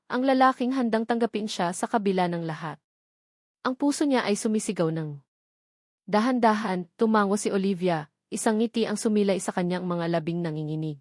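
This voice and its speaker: A woman narrates a romance story in a soft, heartfelt, unhurried voice at a middle pitch. The recording is dry and close, with no room sound around her. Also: dry recording. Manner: soft, heartfelt, measured reading. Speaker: storyteller and author.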